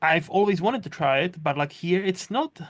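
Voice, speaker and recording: A man's voice: high-pitched, animated, normal speed, a bit bright. A man with a Czech accent mixed with Scottish tones, hosting a casual podcast interview and chatting in a rambling, laughing way. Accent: Czech with Scottish mix. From Czech Republic. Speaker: podcast host. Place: lives in Scotland.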